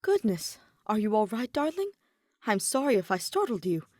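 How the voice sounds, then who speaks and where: A woman reading a story, voicing a composed, gentle young woman leader who speaks formally and reassuringly. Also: medium pitch, very animated, moderate pace, dry recording, clean studio sound.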